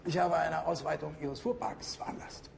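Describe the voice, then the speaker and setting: An actor playing a formal, genial police president in a TV crime comedy, speaking officially in a reverberant hall. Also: male voice, a little high, steady tone, moderate pace, creaky. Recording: reverberant hall, music behind.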